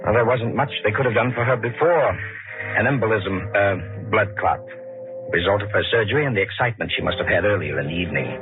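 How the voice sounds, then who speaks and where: An actor playing a calm, grave, authoritative doctor in 1940s radio style. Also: male voice, lowish voice, fast talker, close to an everyday voice. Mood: grave, measured. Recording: narrow old 1940s radio, music behind.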